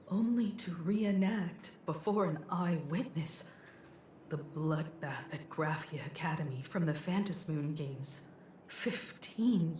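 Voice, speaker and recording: A woman voicing a composed, world-weary investigator in a video game, speaking in a measured, grave, explanatory way. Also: deep voice, darkish tone, reverberant, a little hiss or hum. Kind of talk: scripted video game voice acting.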